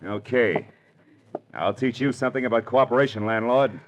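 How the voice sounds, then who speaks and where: An actor playing a tough, wry American private detective in a 1940s radio drama, with clipped, world-weary wisecracking delivery. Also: male voice, mid-range voice, moderate pace, very noisy, lightly acted. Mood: tough, taunting. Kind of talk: 1940s radio drama acting and first-person narration. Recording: old radio recording with music.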